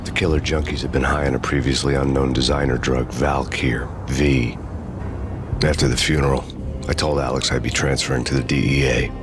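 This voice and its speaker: An American actor playing a grim, world-weary undercover cop who narrates a video game in deadpan hardboiled noir style. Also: male voice, a bass voice, a little fast. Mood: grim, brooding. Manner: deadpan, grim, poetic delivery. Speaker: protagonist and narrator. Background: music.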